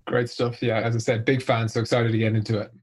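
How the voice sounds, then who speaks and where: Irish guy, middle-aged, talking through his career slow and thoughtful, everyday voice. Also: medium pitch, level tone, husky. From Ireland. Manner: reflective, measured storytelling. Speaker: guest, marketing executive. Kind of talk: casual interview answers.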